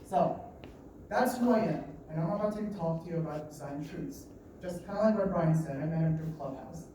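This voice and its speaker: A man in his late twenties giving a live talk at a design meetup. He talks fast and a bit nervously, with lots of fillers and restarts. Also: on the high side, mellow. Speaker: guest speaker at a design meetup. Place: meeting room in an office. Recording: echoey room, distant mic, background noise.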